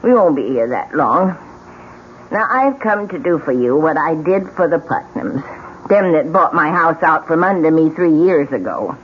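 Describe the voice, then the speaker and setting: Bitter old widow, meddling and ominous, highly animated delivery, muffled vintage radio sound. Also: female voice, moderate pace, slight background noise. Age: older. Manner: needling, dramatic storytelling. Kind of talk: old-time radio drama acting.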